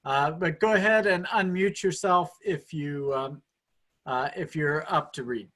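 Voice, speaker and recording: A man informally and haltingly hosting an event on a video call. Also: fairly high pitch, a bit bright. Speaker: host.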